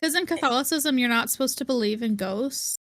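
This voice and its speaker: A woman's voice: high voice, fairly quick, fairly bright tone, no echo. A young woman hosting a podcast, tossing in short, slangy reactions and quips during a casual chat. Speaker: podcast host.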